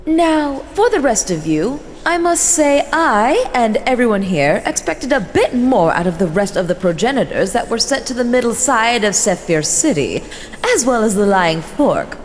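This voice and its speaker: A woman's voice: a little high, big pitch swings, normal speed. A woman voicing an imperious vampire elder who addresses her clan in a formal, haughty, scolding manner in a fantasy role-play drama. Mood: formal, measured. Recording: long hall reverb, faint noise.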